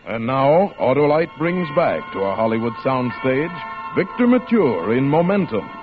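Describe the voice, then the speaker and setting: A man's voice: mid-range voice, big pitch swings, measured pace. A man announcing a radio thriller in a theatrical, suspenseful 1940s style. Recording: muffled old radio recording, loud music.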